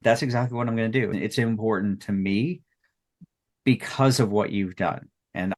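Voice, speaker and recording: A man's voice: fairly low pitch, moderate pace, darkish tone. A man speaking earnestly and sentimentally as a podcast creator in an unscripted retrospective, often repeating phrases for emphasis. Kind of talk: heartfelt unscripted retrospective talk.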